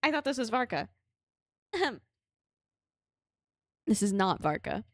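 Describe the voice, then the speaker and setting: Animated, high-voiced woman streamer. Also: measured pace, no echo, clean studio sound. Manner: expressive, theatrical, giggly, swearing casually. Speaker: live streamer. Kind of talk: casual game livestream commentary.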